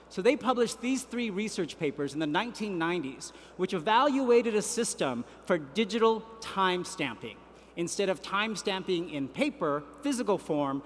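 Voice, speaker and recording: High-voiced man speaking in a big hall. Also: a bit bright, slight background noise. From Vietnam. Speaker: conference keynote speaker. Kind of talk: prepared keynote speech. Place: large conference hall. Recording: reverberant hall, faint ambience.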